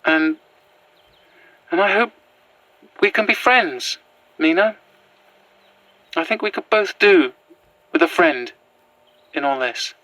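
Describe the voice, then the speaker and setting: Bright, hesitant, earnest woman. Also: on the low side, lively intonation, dry recording, rough recording. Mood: earnest, tender. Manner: hesitant, sincere, halting. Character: earnest, gentle transgender woman in horror peril. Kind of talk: found-footage horror audio drama acting.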